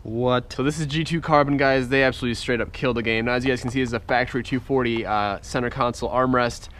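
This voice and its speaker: A young man with a congested voice, chatting excitedly to viewers as he works on his car in a driveway, recorded on a head-mounted camera. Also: medium pitch, fast. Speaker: car vlogger. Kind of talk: casual point-of-view vlog. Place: outdoors in a driveway, working in a car. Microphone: head-mounted action camera.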